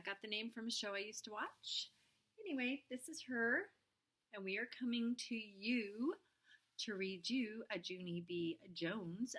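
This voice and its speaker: A middle-aged woman with a bright, forward voice at a medium pitch. She talks casually to young children, as a teacher does before reading aloud. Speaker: first-grade teacher.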